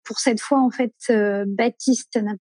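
Woman in her thirties, mellow voice. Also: on the high side, fairly even intonation, a little slow, husky, no echo. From France. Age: 30s. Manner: rambling, many fillers and self-corrections. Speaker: guest. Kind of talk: casual conversational interview.

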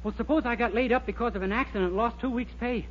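A man's voice: very high voice, fast. A man playing a naive, eager young store clerk with earnest, innocent comic timing in a 1940s radio sitcom. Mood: breezy, confident. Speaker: comic actor. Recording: muffled, very noisy 1940s radio recording.

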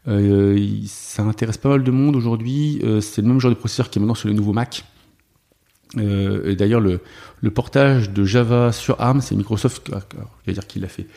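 Guest dev, male, low mellow voice with fry, hesitant delivery full of fillers. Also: normal speed. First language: French. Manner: hesitant, many fillers and restarts. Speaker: guest, software developer. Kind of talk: casual conversational interview.

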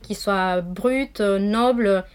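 A woman's voice: medium pitch, slow pace, clean tone. A woman in her forties from Argentina with an Argentine accent, a brand founder speaking in a casual interview, hesitant and full of fillers. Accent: Argentine Spanish.

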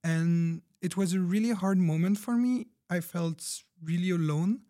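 A man's voice: high-pitched, measured pace, dark tone. A man playing a proud, logic-minded young podcast guest, telling his story carefully and earnestly. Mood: earnest, self-assured. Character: logic-obsessed, proud, hardworking young guest from rationalist community. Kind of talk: fiction podcast backstory monologue.

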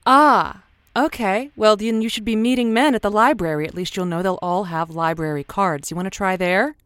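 A woman's voice: mid-range voice, moderate pace, a bit bright, smooth, natural voice. A woman playing a chatty, folksy and somewhat hesitant advice host in an improvised radio drama. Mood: needled, sarcastic. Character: chatty female advice-show host, encouraging yet easily needled. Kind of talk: semi-improvised audio drama as radio show.

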